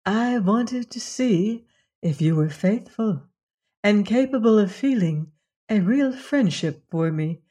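A woman voicing a kindly fairy, formerly a mouse, who speaks calmly and gently. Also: mid-range voice.

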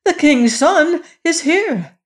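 A woman's voice: medium pitch, slow, lightly acted. A woman voicing a guilt-stricken, fearful wicked queen and stepmother in a storybook, moaning and lamenting in exclamations. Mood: shocked.

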